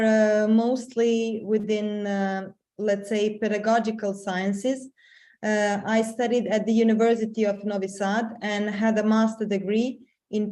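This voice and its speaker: Slow, hesitant middle-aged woman mentor. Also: mid-range voice, a little mellow. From Serbia. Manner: slow, hesitant with many fillers. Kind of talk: webinar presentation. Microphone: video call.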